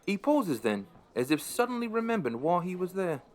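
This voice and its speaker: A man's voice: high-pitched, sing-song, fairly quick. A man playing a middle-aged pub landlord who narrates a radio play in a dry, understated, wry way. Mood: curious, dry.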